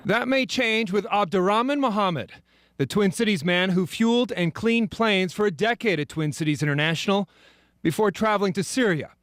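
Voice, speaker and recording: High-pitched American male news reporter. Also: moderate pace, a bit bright, no room sound, clean studio sound. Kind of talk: scripted report narration.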